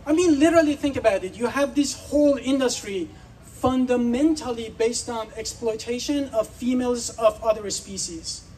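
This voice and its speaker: A man's voice: very high voice, normal speed, darkish tone, distant mic, a little hiss or hum, poor audio. A man from Iran giving an earnest, persuasive speech at an outdoor festival, putting questions to the crowd. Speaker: speaker.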